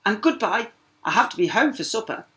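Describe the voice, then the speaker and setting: A man's voice: sits high, very animated, brisk, sharp tone, pitched above the performer's own voice, exaggerated voice. A man voicing a haughty, impulsive young princess, dismissive and spirited, in a children's storybook reading. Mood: bright, breezy.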